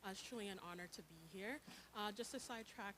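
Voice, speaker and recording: A woman with a soft voice, a nursing lecturer presenting at a panel, earnest and dotted with ums and uhs. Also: mid-range voice, quick pace, slight background noise, rough recording. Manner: earnest, with frequent um and uh fillers.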